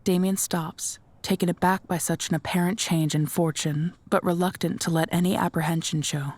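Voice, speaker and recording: Low-voiced woman narrating audio drama. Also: fairly even intonation, normal speed, a little mellow, dry recording.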